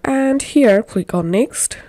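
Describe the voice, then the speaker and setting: A woman presents a software tutorial step by step. She speaks slowly and deliberately, giving plain instructions. The sound is close and dry. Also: fairly high pitch, expressive, dry recording.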